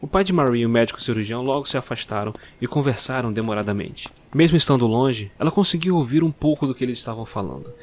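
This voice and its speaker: A man narrating a dark Christmas fairy tale slowly and dramatically. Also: medium pitch. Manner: slow, dramatic storytelling. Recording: clear music bed under the voice.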